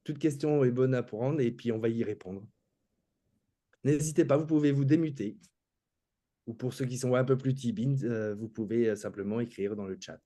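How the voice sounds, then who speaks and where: Relaxed man with a warm voice hosting. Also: medium pitch, moderate pace. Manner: relaxed, friendly, inviting questions. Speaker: host of an online meeting. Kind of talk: hosting an online meeting.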